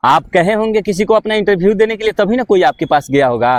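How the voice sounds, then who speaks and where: A man's voice: high voice, expressive, fast, no room sound. Pushy Bihari man reporter, close dry sound. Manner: pushy, goading. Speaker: local reporter interviewing by phone. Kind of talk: phone interview, casual confrontational questioning.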